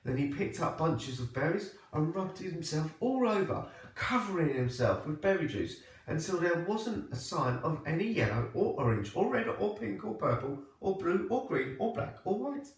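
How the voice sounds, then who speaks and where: A man reading a children's storybook aloud like a primary teacher, with expressive, deliberate phrasing. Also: fairly high pitch. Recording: echoey room, distant microphone.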